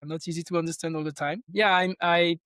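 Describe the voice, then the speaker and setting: A man's voice: on the high side, steady tone, quick pace, fairly bright tone, no room sound, studio quality. A middle-aged man from France with a French accent, talking casually and thoughtfully as a guest in an interview. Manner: thoughtful, rambling, with frequent restarts. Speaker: podcast guest, tech company founder.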